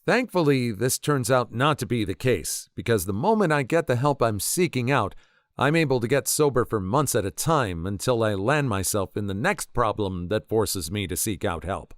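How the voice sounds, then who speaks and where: A man reading internet stories aloud as a narrator, telling them in a lively, expressive way. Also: mid-range voice, normal speed, no room sound, studio quality. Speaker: story narrator.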